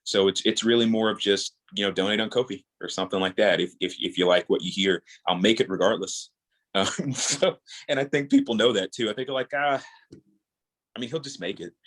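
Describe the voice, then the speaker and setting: A man with a notably low, deep voice speaks as a guest in a casual interview. He is earnest and rambling, circling back and repeating words as he thinks aloud. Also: fairly bright tone. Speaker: podcast guest, audio drama creator.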